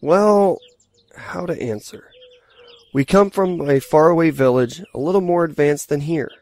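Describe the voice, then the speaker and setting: A man playing a calm, tactful starship officer leading a field mission, matter-of-fact and careful, in a science fiction audio drama. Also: fairly high pitch, a little slow.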